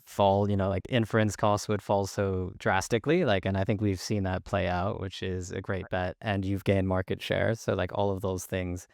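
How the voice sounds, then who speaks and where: Deep voiced podcast host guy, lots of vocal fry, chatting in a dry room. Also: level tone, moderate pace, few pauses, a little mellow, no echo. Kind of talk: conversational podcast interview.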